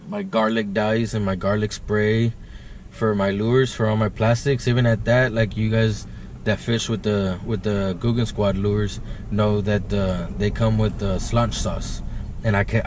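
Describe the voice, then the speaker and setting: Chill fishing vlog dude, kinda low mellow voice, a little outdoor hiss behind him. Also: male voice, little pitch movement, moderate pace. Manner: laid-back, rambling, says "guys" often. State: congested, stuffed sinuses. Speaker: fishing vlogger. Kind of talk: casual vlog talk to camera. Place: outdoors by a river.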